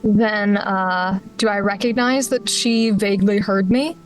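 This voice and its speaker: A woman's voice: mid-range voice, steady tone, measured pace. A woman playing a tabletop roleplaying game, moving between casual table talk and improvised character speech. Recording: background music under the voice.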